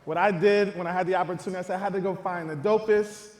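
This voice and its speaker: Energetic man hosting in an echoey hall. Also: sits high, quick pace. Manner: hype, energetic introductions. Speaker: podcast host and panel moderator. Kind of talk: live panel hosting.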